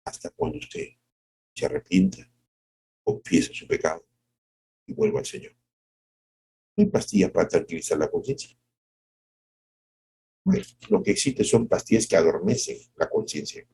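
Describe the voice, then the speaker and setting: A middle-aged male pastor giving a devotional sermon, asking rhetorical questions and acting out quotes, moving from soft drawn-out phrases to sudden high exclamations. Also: huge pitch swings, creaky, a little hiss or hum.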